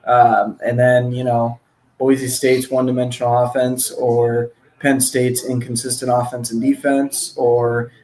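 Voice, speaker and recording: A man's voice: medium pitch, monotone, a little slow, darkish tone, poor audio. A man co-hosting a casual fan stream, reading off game numbers in a matter-of-fact way. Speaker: co-host reading stats. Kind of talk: casual live-stream sports chat.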